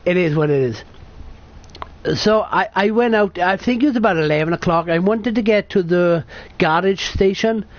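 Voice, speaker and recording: British guy in his 30s, high voice, muffled lo-fi recording, chatty swearing storyteller. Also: moderate pace. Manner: sweary, self-correcting, chatty. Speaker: vlogger. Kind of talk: rambling casual storytelling. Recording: dull lo-fi sound.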